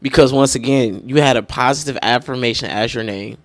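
A man's voice: mid-range voice, fairly quick, no room sound. A man hosting a casual podcast interview, asking questions in a laid-back way. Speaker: podcast host.

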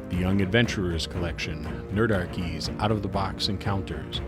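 A man reading a scripted promotional announcement as a podcast announcer. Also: fairly low pitch. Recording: loud music bed under voice. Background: loud instrumental music.

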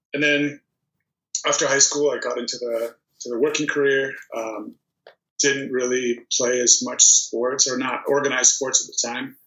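A man in his thirties, originally from Poland, talking casually as an interview guest with plenty of ums and restarts. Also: mid-range voice. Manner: relaxed storytelling with many ums and restarts. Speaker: guest, volleyball player. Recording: dull, echoey remote recording.

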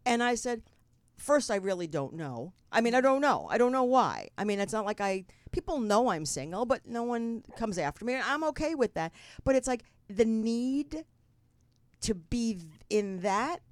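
A woman's voice: on the high side, expressive, moderate pace, dry recording. Candid woman in her late fifties hosting. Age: 50s. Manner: candid, chatty, swears casually. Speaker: host. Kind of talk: casual chat.

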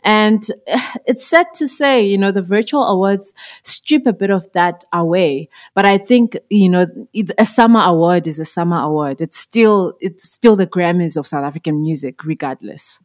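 Woman, 30s, from Zimbabwe, lowish voice, thoughtful musician guest. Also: normal speed. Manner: reflective, with fillers and soft laughs. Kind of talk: podcast interview.

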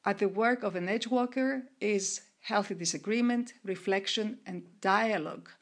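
A middle-aged woman from Greece, answering interview questions in a measured way with frequent restarts and fillers. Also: mid-range voice. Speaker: guest, researcher and coach of women entrepreneurs.

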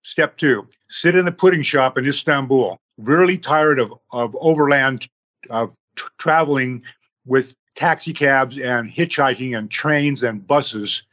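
A man in his sixties, a podcast guest who tells his story slowly, in numbered steps. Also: mid-range voice, fairly bright tone, lo-fi sound.

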